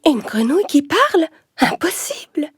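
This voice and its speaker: A woman's voice: high-pitched, very animated, normal speed, dry recording, clean studio sound, soft background music, pitched above the performer's own voice. A woman voicing a young, spoiled, dramatic princess in a children's storybook cartoon, with exclamatory theatrical delivery. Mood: astonished.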